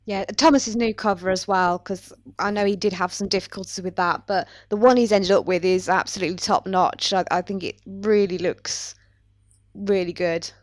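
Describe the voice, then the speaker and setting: A woman's voice: mid-range voice, fairly even intonation, moderate pace, dry recording. Woman podcast host, close dry sound. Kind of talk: casual chat.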